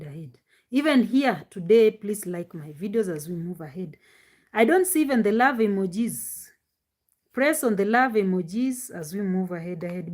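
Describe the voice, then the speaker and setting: A woman with a deep voice, talking loudly and with strain as she chats casually with viewers while hosting a live-stream reaction show on her device's built-in microphone. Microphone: no external microphone, device mic.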